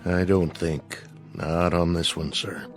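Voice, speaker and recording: A thoughtful colonel in a radio drama. His voice is deep and sober, with a measured, reflective delivery over quiet music. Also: steady tone. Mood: grave, reflective. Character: thoughtful, sober male colonel and spaceflight colleague.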